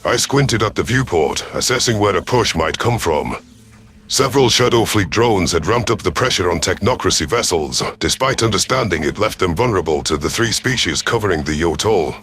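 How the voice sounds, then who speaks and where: A man with a deep voice narrates scripted science fiction in the first person. His storytelling is formal and deliberate, in the manner of an audio story narrator. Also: expressive, fairly bright tone.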